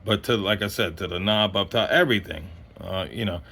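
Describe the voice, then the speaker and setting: A man with a lowish voice hosts an informal, unscripted gear review. He talks in a halting, rambling way and often doubles back mid-thought, as in casual chat with his viewers. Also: bright, forceful tone, slightly rough. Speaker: host of a radio gear review channel.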